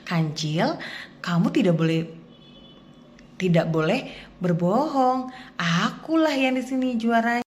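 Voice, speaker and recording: A woman voicing a calm, humble turtle in a children's fable, speaking in a measured, earnest way. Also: on the high side, slight background noise. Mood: firm, chiding. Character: calm, humble, steady turtle answering a boastful rival.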